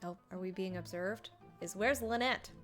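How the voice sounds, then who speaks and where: Casting: female let's play streamer, middle pitch, reactive casual commentary over soft game music. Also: normal speed. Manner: playful, reactive.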